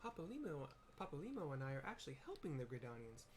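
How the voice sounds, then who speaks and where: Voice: medium pitch, very animated, quick pace, a little hiss or hum, natural voice. A man voicing an upbeat, chatty young woman adventurer who is easily miffed in a fantasy game. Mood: cheerful, chatty. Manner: chatty, exclaiming.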